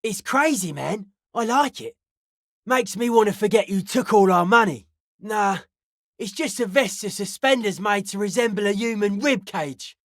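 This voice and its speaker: A woman voicing a suspicious, aloof young hardcore raver in a video game, speaking in deadpan, clipped, cryptic pronouncements. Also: medium pitch, normal speed, no room sound, clean studio sound, lightly acted. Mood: dry, guarded. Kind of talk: video game character dialogue.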